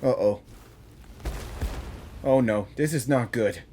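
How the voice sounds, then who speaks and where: A woman's voice: extremely low pitch, slow pace, warm tone, a little hiss or hum. A woman giving live gaming reaction commentary, exclamatory and often repeating phrases. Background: faint game music.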